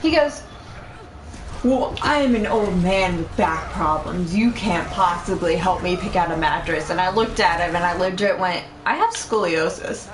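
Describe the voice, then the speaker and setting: A woman's voice: fairly low pitch, measured pace, a crowd in the background. A woman streaming a game, chatting casually and telling anecdotes in a blunt way. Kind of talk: casual chat, animated anecdotes. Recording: roomy echo, faint background noise.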